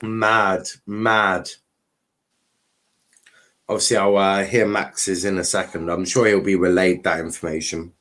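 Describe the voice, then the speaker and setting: Man, low voice, unhurried, livestream host, casual sport commentary, joking, sweary. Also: little pitch movement, a bit bright. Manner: informal, sweary, joking asides. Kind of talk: casual live race commentary and chat.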